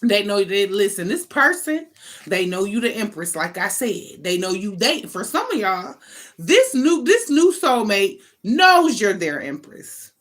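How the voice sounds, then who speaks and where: A woman's voice: mid-range voice, very animated, unhurried, fairly bright tone. An American woman with a mid-range voice hosts a casual tarot reading. She speaks off the cuff in loose slang, leaning hard on her points and swearing casually as she goes. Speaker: tarot reader, online channel host. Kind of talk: informal improvised tarot reading.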